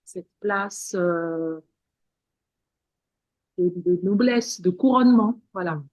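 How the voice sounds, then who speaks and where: A woman's voice: fairly low pitch, slow pace, a little mellow, dry recording, low-quality recording. Earnest woman with repetitive phrasing. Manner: earnest, repeats words and restarts often. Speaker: guest, women's wellness practitioner. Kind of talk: spiritual interview talk.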